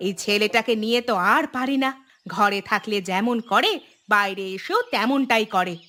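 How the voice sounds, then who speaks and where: A woman's voice: medium pitch, lively intonation, moderate pace, pushed and bright, faint music behind. A woman playing an exasperated but indulgent mother in a cartoon, scolding in a resigned way. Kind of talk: cartoon dialogue acting.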